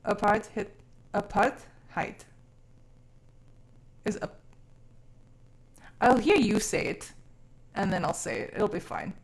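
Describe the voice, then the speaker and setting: Expressive woman chatting informally on stream. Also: medium pitch. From born in South Africa, grew up mainly overseas from age six. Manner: informal, sweary, expressive, quick to laugh. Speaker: live streamer chatting with viewers. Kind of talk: casual chat.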